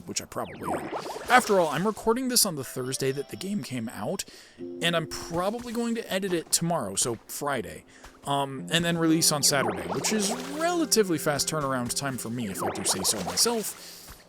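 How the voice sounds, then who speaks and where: Animated, rambling male gamer. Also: fairly high pitch, a little fast, over music. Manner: rambling, self-aware asides. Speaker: gaming video host and amateur voice actor. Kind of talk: casual gameplay commentary.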